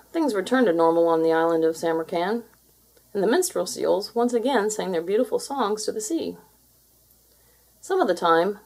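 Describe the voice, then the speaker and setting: A woman reading a children's storybook aloud as an expressive, scripted narration. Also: mid-range voice, gravelly, husky. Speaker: storybook reader.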